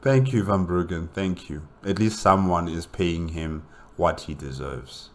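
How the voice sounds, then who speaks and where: A man giving casual, unscripted reaction commentary, musing in a hesitant, halting way. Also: low voice, background noise. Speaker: reaction video host.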